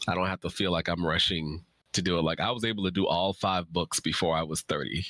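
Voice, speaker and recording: A man's voice: low voice, steady tone, fast, fairly bright tone, dry recording. A man in his thirties chatting casually and informally as an author on a livestream Q&A. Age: 30s.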